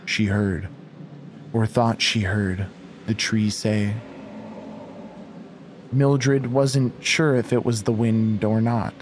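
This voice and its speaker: Slow, measured male horror narrator. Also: on the low side, fairly even intonation, background noise. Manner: measured, literary storytelling. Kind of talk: scripted horror audio drama narration.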